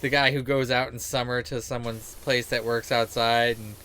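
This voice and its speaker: A man's voice: mid-range voice, level tone, normal speed, a bit bright. A man chatting casually as he streams a game, rambling and joking with plenty of swearing. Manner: crude, jokey, rambling, sweary; acts out quoted remarks. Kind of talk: casual gaming commentary and chat. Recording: clear background noise.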